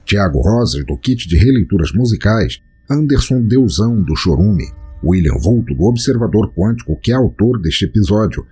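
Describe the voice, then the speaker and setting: A man's voice: lowish voice, expressive, normal speed, few pauses, warm tone, slightly rough, soft background music. A Brazilian man hosting a podcast, reading a scripted introduction in a grandiloquent, tongue-in-cheek theatrical manner. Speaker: podcast host.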